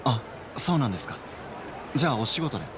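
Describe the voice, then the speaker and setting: An actor playing a polite, helpful young man in a video game cutscene, speaking in a courteous, measured, formal way. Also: medium pitch, very animated, a crowd in the background, background noise, close to an everyday voice. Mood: attentive, sincere.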